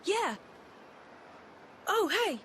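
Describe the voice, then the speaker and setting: Very high-voiced boy. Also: sing-song, extremely slow, pushed and bright, slight background noise, exaggerated voice. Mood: eager. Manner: chirpy, informal. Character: eager, bookish young boy researcher. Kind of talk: video game cutscene acting.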